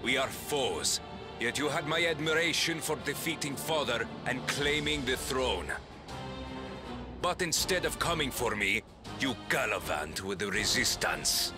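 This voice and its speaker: Fantasy game usurper king, male actor: cold, taunting, slow and deliberate, widely swinging intonation. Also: medium pitch, expressive, over music, natural voice. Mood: cold disdain. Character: proud, cold, menacing warrior king, a usurper. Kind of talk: dramatic fantasy video game acting.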